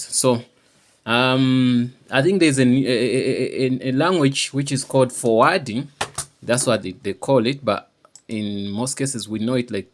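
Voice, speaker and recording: A man presents a casual how-to. He speaks off the cuff, stopping and starting and repeating phrases as he explains each step. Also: fairly low pitch. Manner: halting and repetitive, often checking understanding. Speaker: tutorial presenter. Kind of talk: informal unscripted how-to demonstration.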